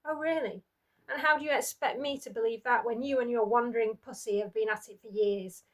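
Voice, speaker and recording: A woman reading aloud, voicing a brutal, sneering abusive husband who taunts in a loud, snarling way. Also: fairly high pitch, fairly quick, lightly acted. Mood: taunting.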